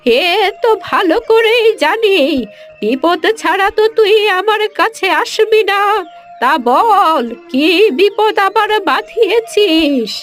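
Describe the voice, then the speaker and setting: Woman acting a very high-pitched, scornful elderly village mother. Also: lively intonation, slow pace, fairly bright tone, faint music behind, heavily acted. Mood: reproachful. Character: bitter, scornful elderly village mother, stern with selfish sons. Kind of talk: Bengali moral cartoon dialogue.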